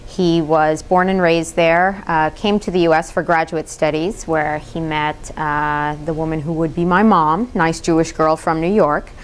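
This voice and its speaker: A woman's voice: sits low, fairly even intonation, measured pace, hardly any pauses, a little hiss or hum. A middle-aged woman from the United States giving a public lecture in an impassioned, direct way. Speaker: speaker.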